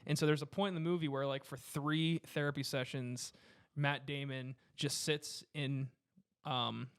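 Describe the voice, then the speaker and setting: A man's voice: medium pitch, steady tone, a little slow, fairly bright tone, smooth. A man chatting casually in a group, with an enthusiastic, slangy way of talking. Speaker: discussion panelist.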